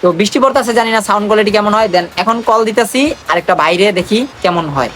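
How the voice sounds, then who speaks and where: Fast-talking young Bangladeshi man, teasing. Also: high voice, a bit bright, faint music behind. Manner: teasing, slangy. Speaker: vlogger making prank calls. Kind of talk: casual vlog chat to viewers and on calls.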